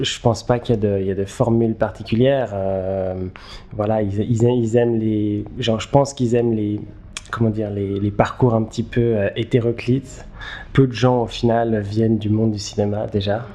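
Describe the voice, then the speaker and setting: A man, giving halting, conversational interview answers and repeating words as he thinks. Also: fairly low pitch, steady tone, mellow. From Geneva, Switzerland. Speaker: interview guest, filmmaker.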